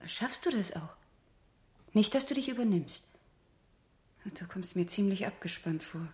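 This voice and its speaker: A woman acting as a hospital patient in a 1970s German radio crime drama, concerned and gently questioning. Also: mid-range voice, lively intonation, a little slow, lightly acted. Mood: apologetic, worried.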